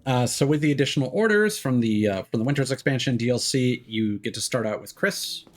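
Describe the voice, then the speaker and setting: A man giving casual live game commentary, rambling and thinking aloud with hesitant pauses. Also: medium pitch, animated. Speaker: game streamer. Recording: game music behind the voice. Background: game music.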